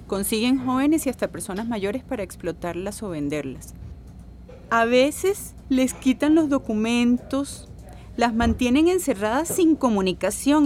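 A woman's voice: fairly high pitch, a little mellow, a little hiss or hum, lightly acted. A woman playing a serious, informed adviser who explains things didactically in a radio drama.

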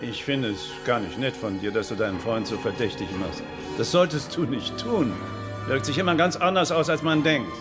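An actor playing a provocative, bullying police detective in a German radio crime drama, blunt, insolent and needling. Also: male voice, mid-range voice, moderate pace, music in the background, natural voice. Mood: quietly threatening.

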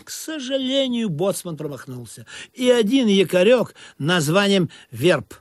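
An actor narrating a humorous radio play as a droll sailor, with expressive mock-solemn delivery. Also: male voice, high voice, unhurried, dry recording, studio quality. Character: narrating sailor, droll teller of absurd sea voyage. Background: music behind the voice.

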